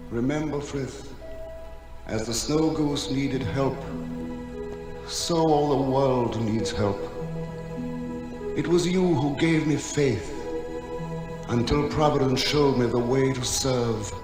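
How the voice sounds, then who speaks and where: Ghostly lover. Extremely slow, solemn, tender, drenched in echo, music behind. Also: male voice, medium pitch. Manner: solemn, loving. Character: tender spirit of a dead lover bidding farewell. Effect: heavy echo. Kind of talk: radio drama acting. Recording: very echoey voice under music.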